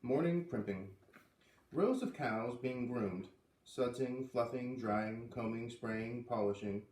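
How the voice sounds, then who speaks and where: A man's voice: medium pitch, slow pace, a little mellow, distant mic, slight background noise. A man reading a children's storybook aloud expressively, acting out the dialogue lightly. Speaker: reader of a children's book read-aloud series. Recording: roomy, voice away from the microphone.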